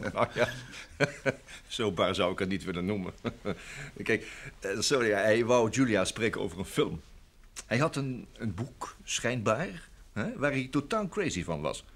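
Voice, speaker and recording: An actor playing an affable, evasive showbusiness manager in an old Dutch radio play, chatty and hesitant. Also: male voice, on the low side, expressive, measured pace, fairly bright tone, breathy edge, exaggerated voice. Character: evasive, affable manager of a film star, grown man.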